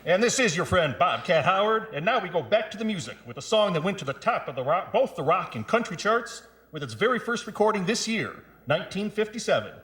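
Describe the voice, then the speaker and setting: A man with a high, bouncing voice that swoops up and down, working as an old-time radio disc jockey. He pitches a commercial with bright, salesman-like energy. The sound carries a clear echo of the room around him. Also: sing-song, fairly quick. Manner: upbeat salesman-like announcer delivery. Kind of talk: 1950s radio DJ patter and ad read.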